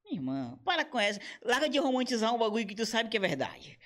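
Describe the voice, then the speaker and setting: A man in his thirties, a comedian and motion designer, chatting casually in a slangy, animated way with quoted asides. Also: extremely high pitch, fast. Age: 30s. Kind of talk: casual podcast conversation.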